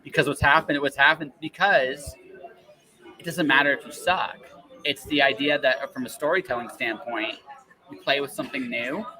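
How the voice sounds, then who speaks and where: A man's voice: medium pitch, fairly even intonation, moderate pace, bright, forceful tone, low-quality recording. A man hosting a casual podcast interview in a rambling, emphatic way, often checking in with the listener. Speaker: podcast host.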